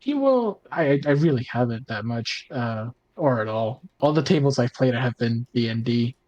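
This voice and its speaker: A man with a mid-pitched voice talks casually, as a podcast co-host among friends. His delivery is informal and halting, padded with "like" and the odd mild swear word. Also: lively intonation, darkish tone, highs cut off. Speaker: podcast co-host and tabletop player. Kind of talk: casual roundtable chat.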